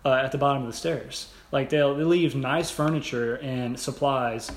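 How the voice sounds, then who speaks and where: A young adult man co-hosting a podcast in casual, halting, rambling, informal talk. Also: mid-range voice, fairly even intonation. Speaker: podcast co-host. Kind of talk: casual conversational podcast chat. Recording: echoey room, background noise.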